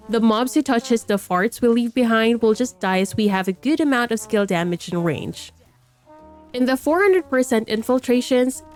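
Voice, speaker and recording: Stuffy-voiced woman explaining a game build. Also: on the high side. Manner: explanatory, matter-of-fact. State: stuffy from a cold. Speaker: game guide creator. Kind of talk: game build guide voice-over.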